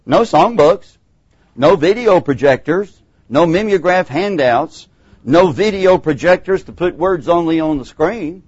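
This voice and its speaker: Man, Texan accent, middle-aged, Bible class teacher, lively swooping voice, dry close sound. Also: on the high side, a little slow, husky, no echo, dull audio. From Texas. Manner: animated, acts out quoted voices.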